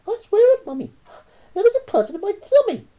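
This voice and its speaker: A woman voicing an insistent little boy in a storybook, high and loud. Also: sing-song, fairly quick, muffled recording.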